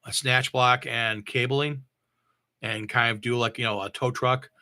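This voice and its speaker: Bright-voiced man, halting casual gear talk. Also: mid-range voice, fairly even intonation. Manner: halting, repeats and thinks aloud while reading specs. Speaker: mobile DJ reviewing audio gear. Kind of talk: casual unscripted product talk.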